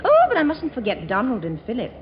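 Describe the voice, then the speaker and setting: Coquettish high-born bride, airy and falsely innocent, very animated, in noisy vintage radio comedy sound. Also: female voice, fast talker. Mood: airy, teasing. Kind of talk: 1940s radio comedy acting. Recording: noisy, muffled 1940s radio recording.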